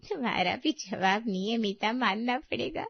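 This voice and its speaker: Woman as high-pitched gossipy neighbour lady. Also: lively intonation, normal speed, creaky, dry recording, muffled recording. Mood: amused, chatty. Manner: teasing, familiar. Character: chatty, flattering, gossipy middle-aged woman neighbour.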